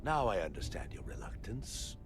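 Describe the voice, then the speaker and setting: An actor playing an ancient, proud alien guardian in a video game, speaking in a formal, sardonic and disdainful way. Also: male voice, medium pitch, big pitch swings, normal speed, faint music behind, lightly acted. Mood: scornful, sardonic.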